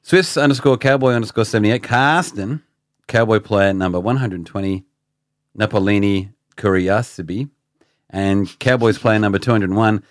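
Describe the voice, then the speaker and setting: A man's voice: fairly low pitch, normal speed, dry recording. A man with an Australian accent co-hosting a podcast in casual chat, joking and self-mocking. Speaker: podcast co-host.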